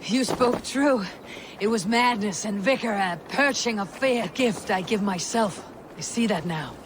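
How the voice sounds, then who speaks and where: A woman's voice: mid-range voice, measured pace, noisy background, close to an everyday voice. Proud, wry Viking warrior woman played by an actress. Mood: thoughtful, resolute. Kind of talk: dramatic video game acting.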